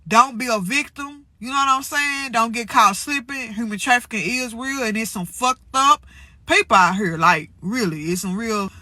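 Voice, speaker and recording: A woman's voice: on the high side, normal speed, bright, forceful tone, no echo. A woman hosting a spiritual channel, talking casually and bluntly to her viewers with slang and profanity, sounding a little congested. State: congested from allergies.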